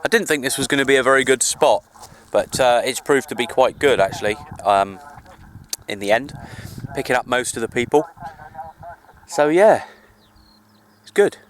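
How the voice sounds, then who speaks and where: A man's voice: medium pitch, normal speed. A man chatting casually and in a rambling, easygoing way for an outdoor hobby vlog, recorded on a handheld camera in the countryside. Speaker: amateur CB radio hobbyist vlogger.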